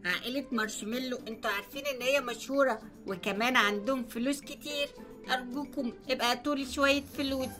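A scheming fox, played by a woman, drops into a lower, hushed voice to beg and plot in an Egyptian accent. It speaks quickly and wheedles, greedy and sly, like a game-video villain. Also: soft background music. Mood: wheedling. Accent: Egyptian Arabic.

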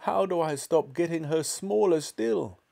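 Man voicing a high, wailing, anxious housewife. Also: unhurried, gravelly, dark tone. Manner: wailing, crying out in alarm. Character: anxious, exasperated wife and mother, middle-aged woman.

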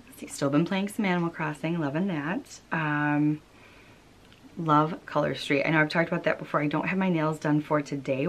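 A woman's voice: low voice, slight background noise. An American woman in her thirties chatting casually and informally as a vlog host, recorded in a small room at home. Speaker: knitting podcast host.

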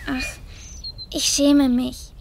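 Shy, sweet little girl for a fairy tale radio play, high and slow-spoken. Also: flat delivery, creaky, darkish tone, exaggerated voice. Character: gentle, shy, dreamy young girl.

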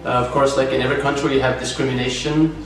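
A man's voice: mid-range voice, quick pace. Casual young man in an echoey room. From Estonia. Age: young adult. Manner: casual, relaxed with fillers. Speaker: youth volunteer worker. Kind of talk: interview answer. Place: small indoor room. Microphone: far camera mic. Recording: echoey room, distant mic, faint music.

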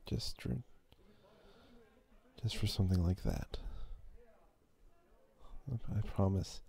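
A man playing a quiet, obsessive, possessive schoolboy in an ASMR roleplay, halting and breathy. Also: sits low, heavily acted. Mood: apologetic, pleading. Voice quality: husky, breathy. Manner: halting, stammering, brooding. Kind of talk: ASMR roleplay, intimate acted monologue.

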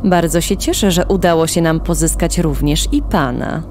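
An actress playing a smug, commanding chancellor villain in a sci-fi audio drama, speaking with composed, mocking politeness. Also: female voice, low-pitched, normal speed, darkish tone, a little hiss or hum, natural voice.

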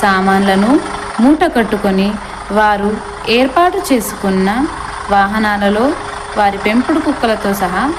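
A woman's voice: mid-range voice, measured pace, long pauses. A woman reading a scripted children's story as narrator, recorded with noise behind her.

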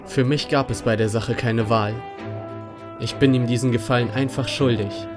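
A man playing a sincere, plain-spoken young defence lawyer in an animated courtroom drama. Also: mid-range voice, normal speed, natural voice. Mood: earnest. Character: earnest young male defence lawyer, loyal. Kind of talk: animated courtroom fan dub acting. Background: background music.

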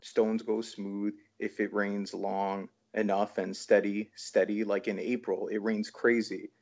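A man's voice: fairly low pitch, little pitch movement, measured pace, a little mellow. A man from Toronto, a poet, reading aloud over a dull, lo-fi video call. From Toronto, Canada. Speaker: poet reading own work. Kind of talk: poetry reading.

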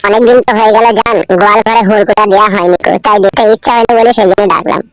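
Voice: mid-range voice, steady tone, rapid-fire, close to an everyday voice, rough recording. An actor playing a smitten young man, a secret lover in a comedy cartoon, pleading and cajoling. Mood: wheedling.

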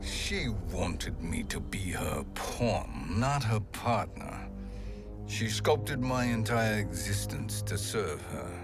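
An older actor playing a cunning, proud old sorcerer in a video game, speaking in a measured, theatrical way. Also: male voice, fairly low pitch, big pitch swings, music in the background. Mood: bitter. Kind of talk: video game cutscene acting.

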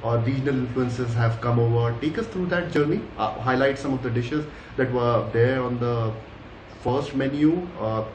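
A man's voice: mid-range voice, moderate pace, darkish tone. Man asking questions in an echoey room. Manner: prompting, repetitive questioning. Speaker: interviewer host. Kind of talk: interview questions. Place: indoor room. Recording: echoey room with background noise.

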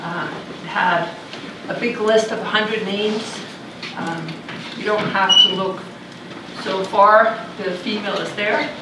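A woman's voice: medium pitch, fairly even intonation, slow pace, very noisy. A middle-aged woman from Denmark giving a conversational university lecture in a large lecture hall, recorded from far off. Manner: conversational, thinking aloud with fillers. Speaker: visiting lecturer. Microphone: room mic far from speaker.

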